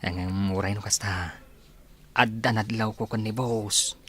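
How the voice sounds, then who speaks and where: A man's voice: fairly low pitch, fairly even intonation, a little slow, a bit bright, slightly rough. A man playing a gossipy, chatty mansion worker in an Ilocano radio comedy drama. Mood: gossipy, amused.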